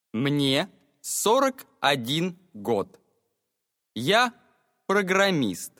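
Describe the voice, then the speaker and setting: A man reads short self-introductions for a language listening test, clearly and slowly. His pitch rises and falls widely across each sentence. The dry studio sound carries a long reverb tail. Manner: clear, expressive exam-style delivery. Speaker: voice actor for a listening exam. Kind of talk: slow, carefully read exam monologue.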